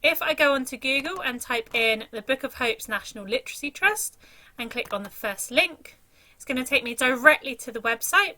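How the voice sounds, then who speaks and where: A woman's voice: high voice, expressive, normal speed, pushed and bright. A woman reading a children's story aloud in a dramatic, theatrical storytelling manner.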